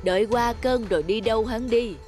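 An actor voicing a gentle, worried elderly peasant mother in an animated folk tale, tender and slow. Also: mid-range voice, over music, natural voice.

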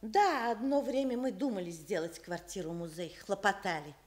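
An actress playing a kindly, chatty old professor's widow in a Soviet radio play, effusive and genteel. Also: female voice, medium pitch, normal speed, lightly acted. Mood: welcoming, reminiscing. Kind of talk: Soviet radio theatre acting.

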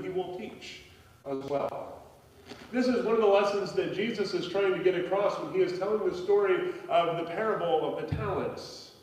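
A man's voice: high-pitched, darkish tone. A man in his forties, a pastor preaching a conversational sermon from a pulpit, telling stories with a few fillers. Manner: conversational storytelling with gestures, frequent fillers. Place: church sanctuary, at a pulpit. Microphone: pulpit microphone, filmed by a far camera. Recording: echoey church hall, voice from a distance.

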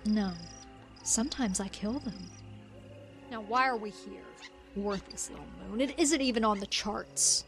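A woman's voice: mid-range voice, close to an everyday voice. Woman acting a cold, ruthless bounty hunter over music. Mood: cold, menacing. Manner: curt, cold, deliberate. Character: ruthless female bounty hunter, menacing and aloof. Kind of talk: dramatic comic dub.

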